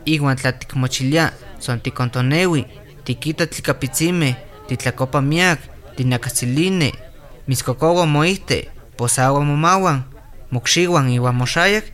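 An actor playing the host of a maternal health program in a scripted radio drama. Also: male voice, fairly high pitch, fairly bright tone.

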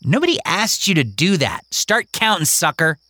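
A woman's voice: fairly low pitch, big pitch swings, measured pace, a bit bright, voice pushed up. A man voicing a bossy, tough young girl who taunts and fights. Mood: taunting.

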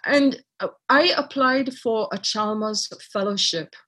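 A middle-aged woman from Trinidad, a writer and artist, talking reflectively in an interview with many pauses and restarts. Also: a little high, pushed and bright. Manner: thoughtful, many fillers and restarts.

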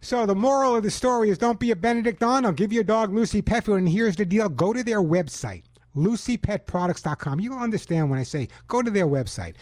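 A man's voice: high-pitched, expressive, fairly quick, darkish tone, dry recording. A man hosting a call-in pet radio show in a studio, giving emphatic, self-assured advice and reading ads. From Long Island, New York. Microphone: studio microphone.

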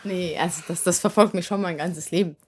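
A woman's voice: fairly low pitch, steady tone, brisk, breathy edge. A young woman raised in Vienna with Hungarian as her first language, speaking German with a Hungarian-Viennese accent. She is a band singer telling stories in a casual interview, with many fillers and easy laughs. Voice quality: chatty storyteller, many fillers, laughs easily.

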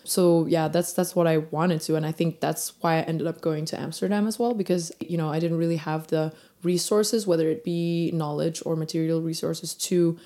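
A woman's voice: low voice, little pitch movement, normal speed, hardly any pauses, mellow. A young woman from Denmark, a rapper guest in a casual interview, chatty with many fillers and restarts.

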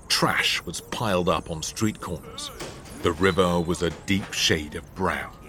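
A man narrating a children's adventure audiobook in an expressive, theatrical way into a studio microphone. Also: low-pitched, a little hiss or hum. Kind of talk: scripted storybook narration.

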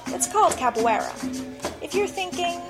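A woman's voice: very high-pitched, expressive, normal speed, loud music behind the voice. A woman reporter giving scripted radio narration with a wry, lightly humorous delivery.

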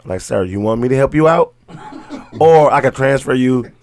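A man's voice: mid-range voice, expressive, moderate pace, a little mellow. A man in casual conversation among friends, joking and teasing a lot. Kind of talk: casual chat.

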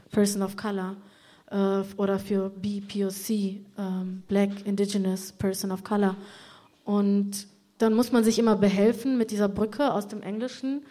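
A woman's voice: medium pitch, a little mellow. A woman hosting a conversation, explaining her views and turning to her guests with follow-up questions. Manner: engaged, asks follow-up questions. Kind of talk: conversation host.

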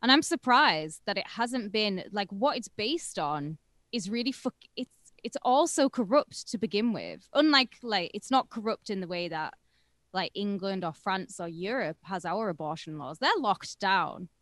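A woman's voice: medium pitch, unhurried, no echo, studio quality. A woman co-hosting a podcast, talking brashly and with plenty of swearing. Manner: brash, profane, animated. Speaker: podcast co-host. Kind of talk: casual political chat.

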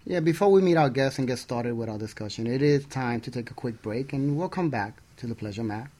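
A man's voice: mid-range voice, animated, fairly quick, dark tone. A man with a mid-range voice presents as the co-host of a talk radio show. His delivery is partly scripted, in the manner of show links and introductions.

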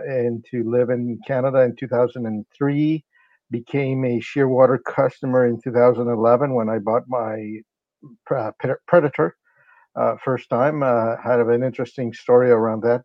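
A man's voice: medium pitch, little pitch movement, moderate pace, no echo, dull audio. A man from Honduras, a company's sales and marketing director, explaining things at length in a casual interview with many fillers.

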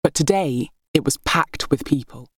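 A woman narrates a historical novel in a low-pitched voice. Her delivery is scripted, expressive storytelling, and the recording is very dry with no room sound. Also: normal speed, breathy edge, dry recording. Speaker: audiobook narrator.

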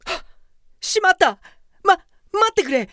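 An earnest male office worker in a comedic radio play. He is theatrical and self-absorbed, speaking high and unhurriedly with big swings of pitch. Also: sing-song, unhurried, fairly bright tone, dry recording, studio quality, exaggerated voice. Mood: panicked pleading. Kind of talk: comedic Japanese radio drama acting. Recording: voice over clear background music.

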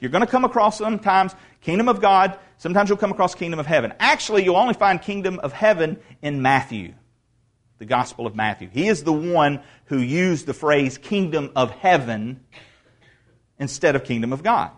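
Man, pastor, fairly high-pitched, casual sermon delivery with restarts, swells to loud proclamation. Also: lively intonation. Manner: conversational preaching with asides and restarts, rising to loud proclamation; reads scripture aloud slowly. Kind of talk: expository sermon.

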